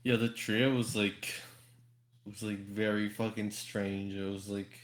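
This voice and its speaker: A man's voice: lowish voice, little pitch movement, a little slow. A man hosting a casual podcast chat, informal and free with swearing. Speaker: podcast host.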